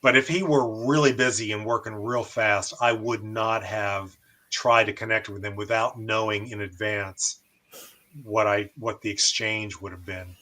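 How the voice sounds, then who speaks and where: A man's voice: lowish voice, fairly even intonation, unhurried, pushed and bright. An American man hosting a casual amateur radio demonstration and chatting as the operator.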